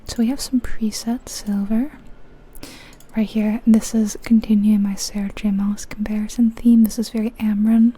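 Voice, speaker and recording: A woman whispering softly and breathily in an unhurried, musing ASMR commentary on a video game. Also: medium pitch, level tone, a little hiss or hum. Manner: hushed, unhurried, musing aloud.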